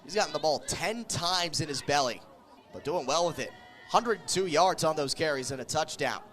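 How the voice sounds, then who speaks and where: High-voiced man calling football play-by-play. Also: animated, moderate pace, a bit bright, some chatter behind. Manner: clipped, vivid phrases that follow the action. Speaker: American football radio play-by-play announcer. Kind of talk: live sports play-by-play commentary.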